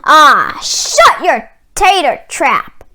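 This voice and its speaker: A woman voicing a grumpy, hungry male giant in a children's storybook, with a booming, growling delivery. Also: very animated, extremely slow, sharp tone, full character voice. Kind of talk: children's storybook read-aloud.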